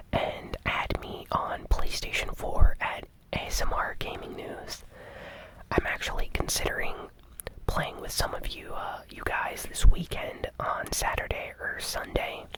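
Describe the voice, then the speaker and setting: A host whispering a casual gaming news recap in a breathy, conversational ASMR style.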